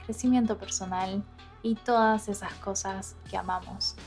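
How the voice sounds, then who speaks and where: A woman with an average-pitched voice hosts an interview podcast. Her delivery is conversational, effusive and enthusiastic. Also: steady tone, a little slow, over music.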